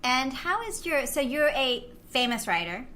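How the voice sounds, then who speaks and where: A bright, slightly high-voiced woman hosts an informal interview. She talks in a rambling, chatty way. There is noise behind her voice. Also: sing-song, unhurried. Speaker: podcast host. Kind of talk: casual chat interviewing. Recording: clear background noise.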